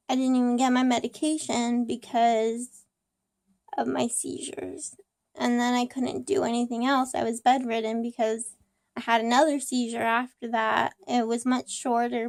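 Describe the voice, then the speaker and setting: Hoarse young woman, slow rambling vlog. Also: a little high, dry recording, studio quality. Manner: rambling, repetitive, many ums and restarts. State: hoarse from a cold. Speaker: vlogger. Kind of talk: casual personal vlog.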